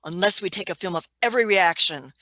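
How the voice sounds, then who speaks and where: A woman's voice: lowish voice, fast talker, pushed and bright. A woman playing an obsessive, imperious scientist in a radio play reading, commanding and impatient.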